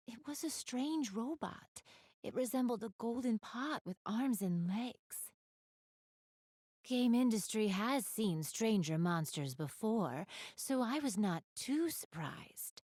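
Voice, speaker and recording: A woman's voice: mid-range voice, darkish tone, no room sound, clean studio sound. A woman playing a gracious, refined young goddess in a video game, speaking to the player in polite, elegant, formal phrasing.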